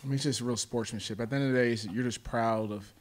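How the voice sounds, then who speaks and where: A man's voice: mid-range voice, fairly even intonation, fast talker. An American man in his twenties, a college football player answering questions at a press conference. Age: 20s. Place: indoor press conference room. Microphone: table microphone.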